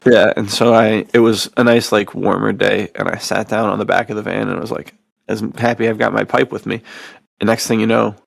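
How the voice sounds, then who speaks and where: A male podcast host with a heavily fried, gravelly voice, recorded close and dry. He talks in a laid-back, slangy way, as if chatting with a buddy. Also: lowish voice, flat delivery, a little fast, no echo. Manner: laid-back slangy talk, often says dude. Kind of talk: casual podcast chat.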